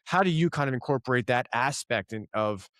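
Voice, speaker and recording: A man's voice: medium pitch, expressive, fairly quick, fairly bright tone, no room sound, clean studio sound. A man from Philadelphia hosting a podcast, asking long, searching questions full of fillers. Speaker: podcast host. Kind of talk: casual conversational interview.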